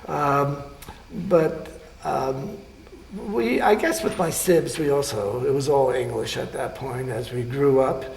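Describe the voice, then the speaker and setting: An older man, a surgeon, recalling his life in an oral history interview, speaking haltingly with many pauses and fillers. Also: medium pitch, vocal fry, a little mellow, roomy sound, a little hiss or hum, rough recording.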